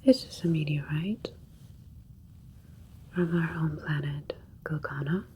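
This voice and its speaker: A woman voicing a calm, clinical female alien doctor in an ASMR roleplay, soothing and methodical in a soft, breathy whisper. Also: sits low, slow pace, slight background noise.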